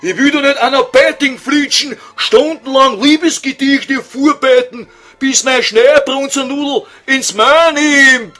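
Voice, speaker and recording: A man's voice: very high-pitched, measured pace, pushed and bright, faint music behind, heavily acted. A man voicing a grumpy, tormented old scholar, whining and exasperated, with a Viennese accent in a comic radio sketch. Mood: impatient, angry.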